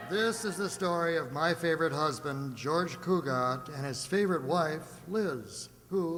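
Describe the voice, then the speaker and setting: An actor playing a formal, wry old-time radio announcer and narrator for a comedy. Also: male voice, on the high side, fairly bright tone. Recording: echoey hall, faint hum.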